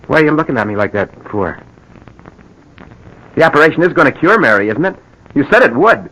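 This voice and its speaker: An actor playing a desperate, guilt-ridden husband in a radio drama, stammering and urgent. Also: male voice, a little high, big pitch swings, a little fast, pushed and bright, exaggerated voice, rough recording. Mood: desperate, demanding. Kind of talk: 1940s radio drama acting. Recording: old radio drama recording.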